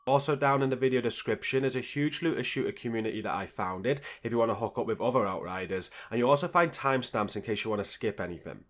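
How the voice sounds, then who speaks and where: A man's voice: medium pitch, quick pace, hardly any pauses. A man hosting a gaming video, reading scripted guide narration in a straightforward, instructional way.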